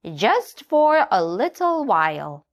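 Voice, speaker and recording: A woman voicing Nanny Hen, a fussy but kindly old hen babysitter, in a high sing-song storybook voice. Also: big pitch swings, slow. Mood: coaxing.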